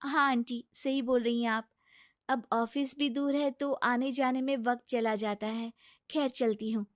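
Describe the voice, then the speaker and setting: A woman voicing a gentle, devoted young daughter-in-law and mother in a story, polite and earnest. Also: on the high side, fairly even intonation, measured pace, dry recording, studio quality, natural voice. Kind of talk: acted storybook dialogue.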